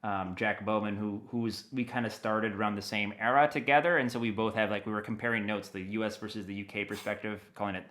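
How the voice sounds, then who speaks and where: An American man giving a casual interview, rambling in an animated way as he thinks aloud. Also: fairly low pitch, fast talker, hardly any pauses. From United States. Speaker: interview guest, audio dramatist.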